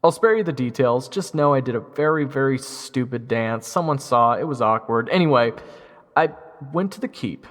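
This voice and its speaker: A man's voice: mid-range voice, animated, moderate pace, in a large hall. A young man narrating his own story in first person, wry and self-deprecating. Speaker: narrator and lead.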